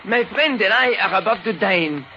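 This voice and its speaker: A man's voice: sits high, lively intonation, normal speed, pitched above the performer's own voice. A stout middle-aged restaurateur speaks. He is placid and quaintly formal, a radio-story character heard through a muffled, noisy old recording. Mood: courteous, unhurried. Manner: formal, quaintly polite phrasing.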